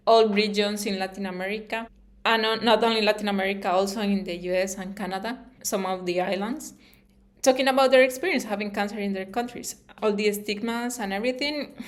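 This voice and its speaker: Costa Rican woman speaking accented English. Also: medium pitch. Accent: Costa Rican Spanish. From Costa Rica. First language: Spanish. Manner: earnest, non-native English phrasing. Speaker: YouTube channel host and interviewer. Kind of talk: informal personal talk to camera.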